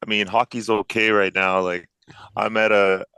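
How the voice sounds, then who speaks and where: A man in his early thirties with a Canadian accent talks casually as a guest. His voice is deep and forward with a clean, smooth edge, recorded dry and close. His phrasing is slangy and informal. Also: level tone, moderate pace, sharp tone, dry recording, rough recording. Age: early 30s. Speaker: podcast guest, sports bettor and accountant. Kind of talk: casual interview chat.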